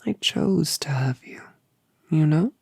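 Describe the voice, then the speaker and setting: A woman voicing a colossal, gentle, motherly dragoness in a whispered audio drama, soothing and affectionate with genteel phrasing. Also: low voice, expressive, takes it slowly, gravelly, breathy edge, no room sound, studio quality, full character voice. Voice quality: soft whisper.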